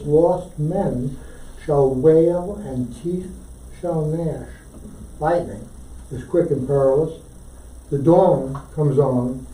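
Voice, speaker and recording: A man reciting a poem at a live reading, deliberately, in short separated phrases. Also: a little high, mellow. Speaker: poetry reader. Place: indoor library poetry reading. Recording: echoey room, distant from mic.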